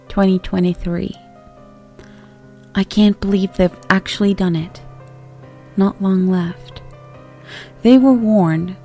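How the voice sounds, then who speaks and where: A woman reading a scripted horror story as its narrator, in a measured, deliberate way. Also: mid-range voice, dark tone. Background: music.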